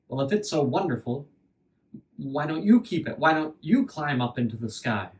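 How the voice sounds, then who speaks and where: A man voicing an earnest, hesitant farm boy in a fairy tale, polite but quick to blurt out his doubts. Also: fairly high pitch, sing-song, darkish tone, reverberant, recorded from a distance, a little hiss or hum. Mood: sceptical.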